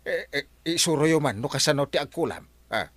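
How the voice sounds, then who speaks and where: An actor playing an excitable middle-aged village captain in a radio comedy, swinging between yelps and mutters. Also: male voice, on the high side, brisk, background noise, close to an everyday voice. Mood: flustered. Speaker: barangay captain. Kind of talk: radio comedy drama acting.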